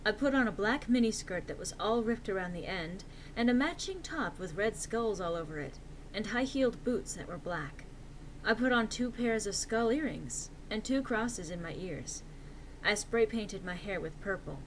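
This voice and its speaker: A woman's voice: medium pitch, lively intonation, moderate pace, slight background noise, rough recording. A woman reading a story aloud as narrator in a theatrical, mock-serious and emphatic comic style.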